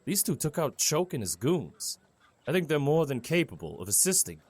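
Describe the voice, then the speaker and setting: A man plays a kindly, folksy town mayor. His pitch moves up and down a great deal, and his manner is informal and reassuring, as in an audio drama. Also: very animated, normal speed, slightly rough. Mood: eager, informal.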